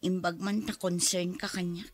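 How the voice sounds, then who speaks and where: A woman's voice: lowish voice, level tone, normal speed, close to an everyday voice. A woman acting in an Ilocano radio drama as a hurt, suspicious wife and mother, with an Ilocano Filipino accent. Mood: downcast, confiding.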